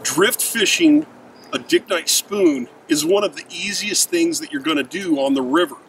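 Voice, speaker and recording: Gravelly man teaching from a riverbank. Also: on the high side, lively intonation, normal speed. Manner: explanatory, emphatic, addresses viewers as guys. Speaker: fishing instructor. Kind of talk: outdoor how-to demonstration. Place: outdoors on a riverbank, standing in the water. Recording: distant mic with faint river noise.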